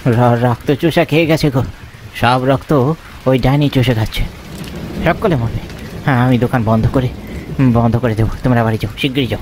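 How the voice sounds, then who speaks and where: A man's voice: medium pitch, normal speed, long pauses, natural voice. Man as panicky stammering shopkeeper, noisy rain. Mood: terrified. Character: terrified village shopkeeper, adult man, panicky. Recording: rain and thunder noise behind.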